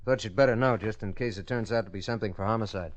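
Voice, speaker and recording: A man's voice: lowish voice, rapid-fire, lo-fi sound, natural voice. An actor playing a businesslike male police dispatcher in an old radio drama, clipped and official. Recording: faintly noisy old radio recording.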